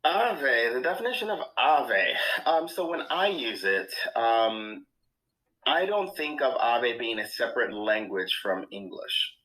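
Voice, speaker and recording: A man who studies language, explaining calmly in an interview with many pauses. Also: medium pitch, poor audio. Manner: measured, thoughtful, with many ums. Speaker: language expert.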